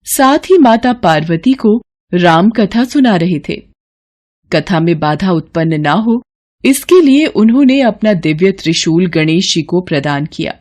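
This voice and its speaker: A woman's voice: fairly low pitch, animated, measured pace, darkish tone. A woman narrating a scripted devotional story as a storyteller.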